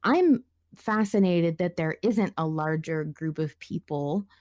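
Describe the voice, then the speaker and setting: A woman's voice: low voice, takes it slowly, a little mellow. A woman hosting a casual podcast discussion, thinking aloud in a conversational way and sometimes reading out comments. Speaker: podcast host.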